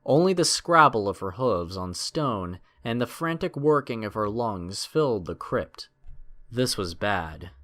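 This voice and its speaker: A man's voice: on the low side, sing-song, a little slow, a bit bright. A man narrating a scripted adventure story in a dramatic storytelling style.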